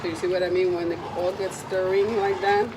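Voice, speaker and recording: A woman with a raspy voice from a lingering cold, chatting casually as she demonstrates a recipe in her home kitchen. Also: on the low side, flat delivery, brisk, noisy background. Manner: chatty, meandering, with frequent ums. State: recovering from a cold. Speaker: cooking video host.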